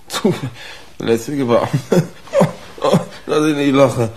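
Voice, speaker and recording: An actor playing a badly beaten, wry truck driver, weak and in pain, in a radio crime drama. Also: male voice, medium pitch, darkish tone, husky. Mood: bitterly wry. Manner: slow, sardonic.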